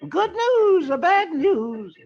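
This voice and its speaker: A man voicing an excitable, nosy woodland animal in a drawn-out falsetto, in an old radio comic-strip reading. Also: full character voice. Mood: eager curiosity. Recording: dull, noisy vintage radio recording.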